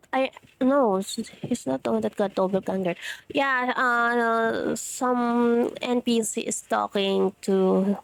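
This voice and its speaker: Slow, gravelly Filipino woman streamer. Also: a little high, no room sound. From Philippines. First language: Tagalog. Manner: rambling, many fillers and self-corrections, frequent giggles. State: voice recovering after being lost. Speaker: game streamer. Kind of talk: casual gameplay commentary. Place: alone in her room.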